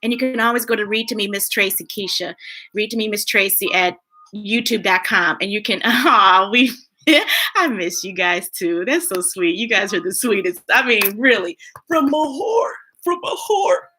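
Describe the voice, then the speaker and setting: A woman hosting a children's story time, reading picture books aloud expressively and chatting playfully with viewers in a gushing, animated way. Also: medium pitch, moderate pace.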